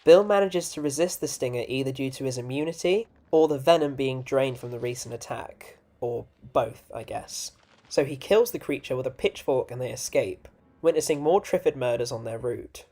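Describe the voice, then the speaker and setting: A man's voice: mid-range voice, moderate pace, warm tone. Male video essay narrator, informal and opinionated, scripted delivery with wry casual asides.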